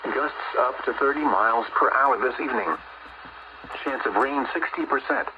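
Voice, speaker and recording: A man's voice: medium pitch, normal speed, dull audio. A man's voice reading a formal, scripted weather forecast as an announcer, heard through a radio speaker. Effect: played through a radio speaker. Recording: noisy weather radio, picked up in room.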